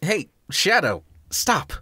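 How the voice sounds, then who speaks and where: A man's voice: high-pitched, sing-song, takes it slowly, pushed and bright, husky, no echo, studio quality, exaggerated voice. A man voicing a cocky, joking male hedgehog hero in a fan audio drama. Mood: breezy. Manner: breezy, joking.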